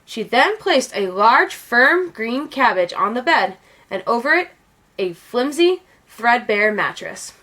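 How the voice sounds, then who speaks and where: A woman reading a children's picture book aloud as an expressive storyteller. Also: a little high, slow. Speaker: read-aloud storyteller, teacher.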